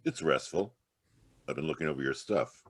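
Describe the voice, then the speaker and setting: An actor playing a smug, needling homicide inspector in 1940s radio crime drama style, taunting and sardonic. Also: male voice, very deep voice, moderate pace, breathy edge, no echo, studio quality. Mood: falsely casual.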